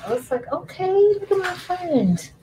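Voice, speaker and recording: A woman's voice: very high-pitched, sing-song, unhurried, background noise. A woman in her thirties hosting a casual craft livestream from home, chatting with viewers while she works. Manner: chatty, addresses viewers as y'all, frequent laughs. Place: indoors at a home craft table.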